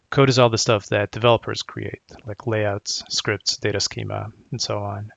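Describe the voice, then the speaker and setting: A middle-aged man from Croatia giving a prepared conference presentation as a technical architect, speaking in a measured, methodical, explanatory way. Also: lowish voice, gravelly, a bit bright, dry recording, muffled recording.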